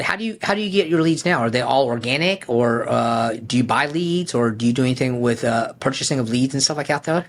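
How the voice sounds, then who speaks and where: A man hosting an interview, asking questions in an upbeat way and often repeating words as he starts a phrase. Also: medium pitch. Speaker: podcast host, interviewer.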